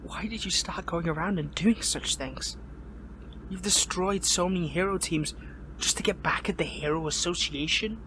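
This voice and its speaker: Man acting a shaken young superhero, higher-pitched voice. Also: pushed and bright, a little hiss or hum, poor audio. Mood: indignant. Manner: halting, emotional, pleading, repeats and breaks off words. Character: earnest captured young male superhero, shaken, conflicted and lovesick. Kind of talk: amateur audio roleplay drama.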